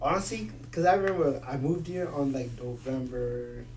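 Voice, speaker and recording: A man in his twenties from Ethiopia chats loosely as a podcast guest. He stumbles over the starts of his sentences and breaks into laughter often. He sits at a medium pitch, a little back from the microphone. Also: animated, moderate pace, a little mellow. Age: 20s. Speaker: guest, resident assistant. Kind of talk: casual podcast chat. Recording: voice a bit far from the mic.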